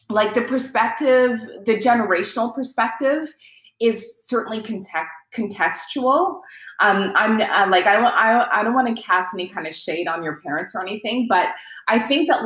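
Woman co-host, casual talker, many "like"s and "um"s, mid-pitched, roomy echo. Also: a bit bright. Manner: talkative, many fillers and restarts. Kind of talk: casual conversation.

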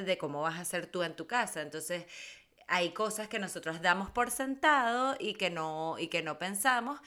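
A woman co-hosting a podcast in an expressive, colloquial, storytelling way. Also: mid-range voice. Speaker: podcast co-host. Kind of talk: casual conversational podcast talk.

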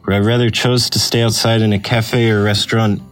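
Low-voiced man reading a personal essay. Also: little pitch movement, brisk, soft background music. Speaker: essay reader.

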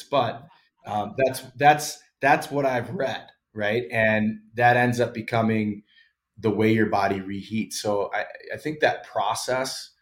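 A man in his thirties talks in a fairly low voice as a guest on an interview podcast. He explains health habits in a loose, conversational way and often hedges what he has read. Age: 30s. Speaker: podcast guest, former pro hockey player. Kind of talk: casual interview chat.

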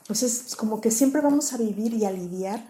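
A woman's voice: on the high side, moderate pace, dark tone. A woman with a Mexican accent, a podcast guest speaking casually from her own personal experience. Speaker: interview guest, coach.

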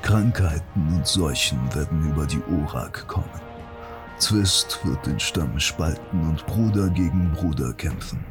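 A man voicing an orc shaman who gravely recites a dark, ancient prophecy in a measured, portentous audiobook style. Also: a bass voice. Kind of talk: solemn scripted fantasy audiobook recitation.

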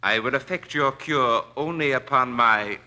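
An actor playing a formal, persuasive and domineering doctor of doubtful honesty in an old radio drama. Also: male voice, medium pitch, pushed and bright. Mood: commanding. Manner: formal, measured, persuasive, commanding. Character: smooth, domineering doctor, suspected charlatan. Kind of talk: 1940s radio acting. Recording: vintage radio drama recording.

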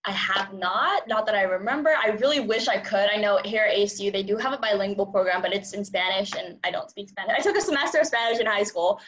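A woman's voice: mid-range voice, fast, hardly any pauses, fairly bright tone, lo-fi sound. A young woman in her twenties whose first language is Cantonese, chatting in an interview with a rambling, upbeat manner, laughing often. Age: 20s. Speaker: journalism student guest. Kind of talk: casual interview chat.

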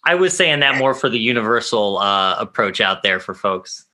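A man hosting a casual chat, a calm listener who affirms and weighs in thoughtfully. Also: lowish voice, normal speed. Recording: faint background noise.

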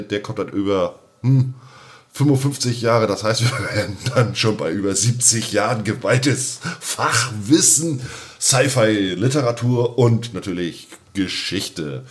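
A man hosting a casual podcast, chatty and jokey, often repeating words for emphasis. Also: fairly low pitch. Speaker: podcast host.

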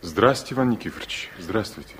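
A man's voice: on the low side, lively intonation, fast talker, fairly bright tone. An actor playing a polite, uneasy young male student who answers briefly and evasively in a 1960s Soviet radio play.

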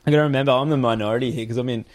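Aussie guy, easygoing chat, laughs a lot, middle pitch. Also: fairly even intonation, rapid-fire. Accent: Australian. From Australia. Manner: laid-back, laughs often. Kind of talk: casual chat. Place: tiny home in the woods.

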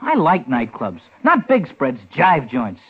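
A man's voice: mid-range voice, wildly swooping pitch, slow pace, husky, heavily acted. An actor playing a chatty, cocky young night elevator boy with rambling, eager hepcat slang, in 1940s radio drama style. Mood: chatty, dreamy. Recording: tinny, hissy old-time radio recording.

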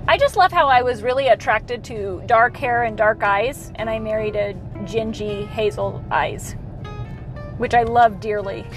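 A woman's voice: fairly high pitch, unhurried, over music, poor audio. A married woman in her thirties chatting as a podcast co-host, joking and playfully dramatic. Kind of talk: casual chat.

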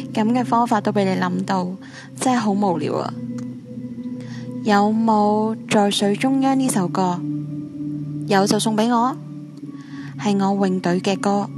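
A woman acting as a brusque teenage schoolgirl in a ghost radio play speaks Hong Kong Cantonese. Her fairly high voice is curt and mocking, with loud music playing behind it. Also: lively intonation, unhurried, long pauses. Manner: curt, challenging.

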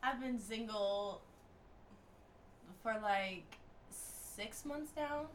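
A woman's voice: fairly high pitch, very slow, slight background noise. Woman, 20s, casual podcast guest, exasperated chatter. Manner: chatty, says "like" a lot, exasperated. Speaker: co-host.

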